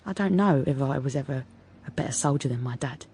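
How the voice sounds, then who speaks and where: Husky, brash young London woman. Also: rumbling low, expressive, fairly quick, dark tone, exaggerated voice. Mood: defiant, reflective. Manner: cheeky, blunt, streetwise. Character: brash, combative, teasing working-class young woman, ex-soldier. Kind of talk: radio drama monologue and dialogue.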